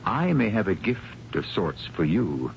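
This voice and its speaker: Deep-voiced radio mystery host, muffled. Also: male voice, sing-song, measured pace, creaky, a little hiss or hum, heavily acted. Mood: knowing, wry. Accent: American. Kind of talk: theatrical scripted radio narration. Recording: muffled old radio broadcast. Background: loud music.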